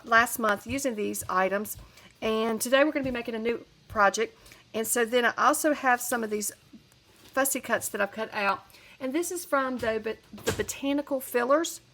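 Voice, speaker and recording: A woman's voice: fairly high pitch, bright, forceful tone. A woman with a head cold, chatting casually through a crafting tutorial with lots of fillers and restarts. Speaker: crafting channel host.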